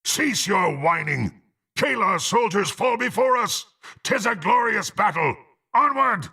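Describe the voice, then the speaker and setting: A brutal half-orc warrior from a fantasy game, contemptuous and hungry for power. The gravelly voice moves slowly but swings widely, dripping with haughty menace. The phrasing is archaic and formal. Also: male voice, lowish voice, very animated, fairly bright tone, heavily acted. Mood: battle fury. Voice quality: gruff, gravelly. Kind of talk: dramatic fantasy game voice acting.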